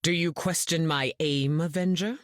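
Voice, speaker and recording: Man as a proud, higher-voiced warrior hero. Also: fairly even intonation, normal speed, fairly bright tone, no room sound, clean studio sound, close to an everyday voice. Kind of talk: video game dialogue.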